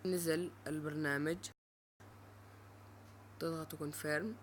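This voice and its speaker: A male presenter giving an informal, halting step-by-step app tutorial. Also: a little high, steady tone, a little hiss or hum.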